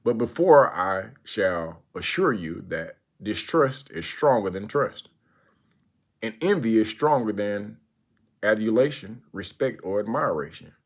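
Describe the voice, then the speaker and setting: Guy with a lowish voice reciting an old speech, deliberate and emphatic, lots of pauses. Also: expressive. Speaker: narrator reading a historical speech aloud. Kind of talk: recited speech read aloud.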